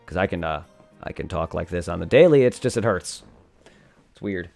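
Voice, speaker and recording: A man's voice: low-pitched, animated, moderate pace, vocal fry, a little mellow, faint music behind. A young man chatting casually on a live game stream, rambling and thanking his viewers. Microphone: desk condenser microphone.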